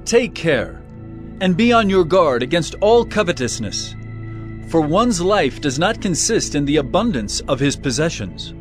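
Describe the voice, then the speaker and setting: An actor playing an earnest male teacher of parables in a dramatized audio Bible, speaking in an emphatic, measured, declamatory way. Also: on the high side, big pitch swings, soft background music. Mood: earnest teaching.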